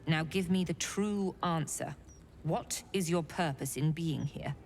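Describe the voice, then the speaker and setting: A woman playing a severe inquisitor of a dark cult in a video game, commanding and probing. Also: low-pitched, a little slow, creaky, a little hiss or hum. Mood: stern, probing. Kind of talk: video game character dialogue.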